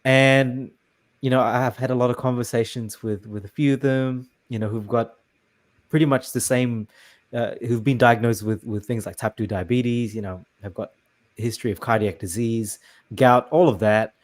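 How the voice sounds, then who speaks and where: Male host, middle pitch, halting and agreeable, interviewing over a video call. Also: steady tone. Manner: hesitant, many restarts and fillers, agreeable. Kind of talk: casual interview chat.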